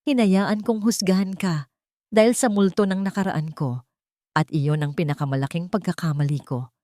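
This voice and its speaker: A woman voicing an earnest, tender wealthy man in love in a narrated romance, speaking in a heartfelt, measured way. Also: fairly low pitch, dark tone, dry recording, clean studio sound, close to an everyday voice. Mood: regretful. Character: earnest, tender male billionaire confessing his love.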